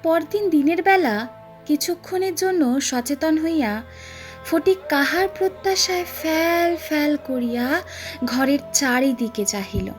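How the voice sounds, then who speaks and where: High-pitched woman narrating slowly over music. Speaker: audio story narrator. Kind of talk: dramatic literary story narration.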